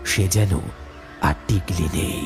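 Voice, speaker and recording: A man's voice: deep voice, slow pace, creaky. A man narrating a horror story in a dramatic, scripted storytelling style. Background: loud music.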